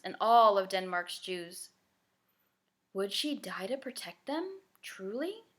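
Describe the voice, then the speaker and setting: A woman narrating a children's novel as a scripted, expressive read-aloud. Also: medium pitch.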